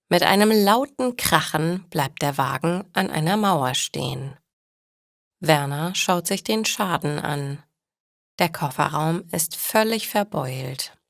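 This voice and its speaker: A woman narrating a scripted story for language learners in a careful, measured read-aloud manner. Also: low voice, creaky, no room sound, studio quality. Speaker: narrator of stories for German learners.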